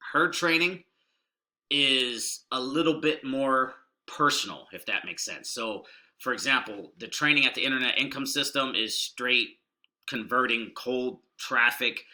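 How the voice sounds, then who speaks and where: Bright-toned man talking in a hushed way. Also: medium pitch. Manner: rambling, folksy and deliberately subdued. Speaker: online marketing video host. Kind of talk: casual screen-share walkthrough.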